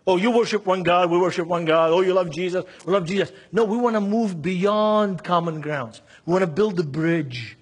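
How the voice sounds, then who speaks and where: Male seminar preacher, Lebanese accent, high husky voice, emphatic storyteller who drives points home by repeating them. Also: moderate pace, hardly any pauses. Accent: Lebanese Arabic. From Beirut, Lebanon. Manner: emphatic, acts out dialogue, repeats key phrases. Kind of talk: seminar preaching with anecdotes and rhetorical questions.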